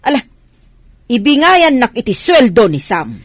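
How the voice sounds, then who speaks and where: Grasping older Filipina mother-in-law, high, swooping voice, acted by a woman. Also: big pitch swings, unhurried, no echo, studio quality. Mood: demanding, scolding. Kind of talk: Ilocano radio comedy drama acting.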